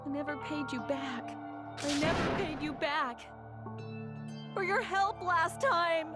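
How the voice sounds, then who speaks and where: High, anguished young woman. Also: slow. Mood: anguished grief. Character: anguished, hot-blooded young woman in a killing game. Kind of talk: anime video game voice acting. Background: game music.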